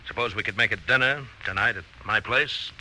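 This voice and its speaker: An actor playing a controlled, outwardly friendly man hunting his blackmailer, deliberate and pointed, in an old radio drama. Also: on the low side, sharp tone, faint music behind. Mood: smooth, insistent. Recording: faintly noisy, thin old radio recording.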